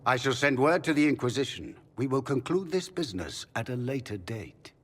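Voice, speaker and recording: Husky formal magister in echoing hall. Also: male voice, mid-range voice, expressive, normal speed, fairly bright tone. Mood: formal, brisk. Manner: formal, clipped, authoritative. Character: formal older Tevinter magister, anxious solicitous father. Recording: long echoing hall reverb.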